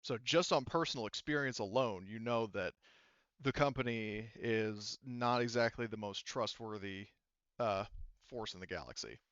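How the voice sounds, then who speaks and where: A man running a tabletop roleplaying game, explaining the story in a halting, conversational way. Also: on the low side, fairly bright tone, smooth, no room sound, highs cut off. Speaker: game master.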